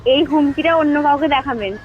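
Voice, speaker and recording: A woman in a casual phone conversation, answering a prank caller with curt, sceptical, sharp retorts. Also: high voice, a little fast, faint music behind. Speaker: recipient of a prank call. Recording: muffled, noisy phone call recording.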